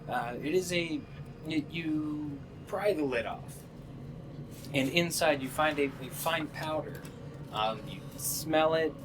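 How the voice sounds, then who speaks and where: A man running a tabletop fantasy game, improvising narration and piecing his descriptions together haltingly. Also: mid-range voice, background noise. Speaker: game master.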